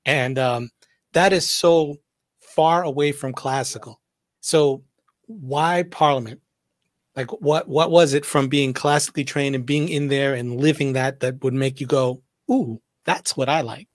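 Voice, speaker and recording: A man from Massachusetts works as an interviewer in a casual, chatty style. His pitch is average for a man, and the sound is dry, free of any room echo. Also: steady tone, a little slow. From Massachusetts, USA.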